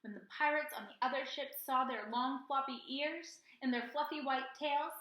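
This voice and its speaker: A woman teacher reading a storybook aloud to children with expressive narration, recorded in an echoey room. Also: sits high, normal speed.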